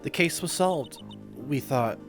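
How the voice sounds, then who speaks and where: Man voicing a high-pitched forlorn young woman medium. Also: animated, slow, over music. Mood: somber. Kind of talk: amateur video game voice-over.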